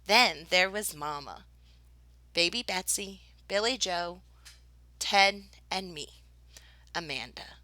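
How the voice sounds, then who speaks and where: A woman reading a children's picture book aloud as a teacher would, with expressive, deliberate phrasing. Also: on the low side, no room sound. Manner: animated, deliberate phrasing for young listeners. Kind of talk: expressive children's storybook narration.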